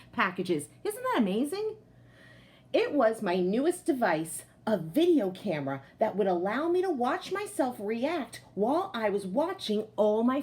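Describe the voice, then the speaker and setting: A woman reading a picture book aloud to children in an expressive storytelling style, like a teacher, with friendly asides to young listeners. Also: a little high.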